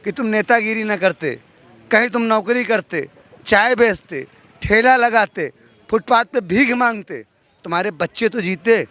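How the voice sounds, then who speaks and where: A man's voice: sits high, a little slow, sharp tone, dry recording. A middle-aged man, speaking emphatically and bitterly as an interviewed party worker. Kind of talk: aggrieved street interview.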